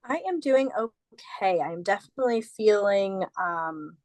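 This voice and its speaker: A woman's voice: medium pitch, animated, unhurried, a little mellow, dry recording. A woman co-hosting a casual podcast, getting over an illness, chatting loosely with lots of "like" fillers. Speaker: podcast co-host.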